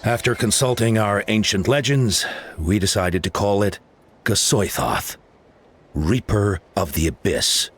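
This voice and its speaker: A deep-voiced solemn elder. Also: male voice, measured pace, breathy edge, faint music behind. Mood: grave. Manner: formal, explanatory, somber. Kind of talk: video game voice acting.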